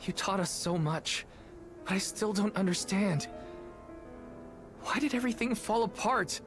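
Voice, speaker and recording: An actor playing an earnest, loyal young male soul guard in a video game cutscene, expressive and emotional. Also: high-pitched, a little slow. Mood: troubled, reflective. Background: background music.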